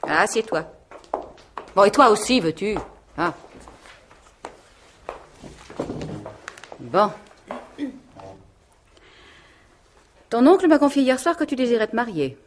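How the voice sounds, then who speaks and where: An actress playing a domineering, cold aunt in a radio mystery play, with an imperious, cutting and sarcastic manner. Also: female voice, fairly high pitch, animated, fairly quick, background noise, lightly acted. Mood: icy sarcasm. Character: domineering, cold aunt who rules the household.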